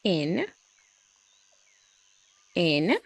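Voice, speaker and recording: A woman's voice: lowish voice, very animated, extremely slow, creaky, slightly rough, no room sound. A woman hosting a read-aloud and drawing lesson for children, chatty, gushing and encouraging, often breaking into exclamations.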